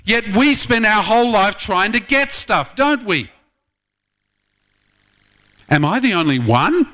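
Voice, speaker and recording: A man's voice: sits high, sing-song, takes it slowly, pushed and bright. A middle-aged man preaching a sermon in a chatty way, often asking his congregation questions. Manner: conversational, asks the congregation many questions. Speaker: pastor.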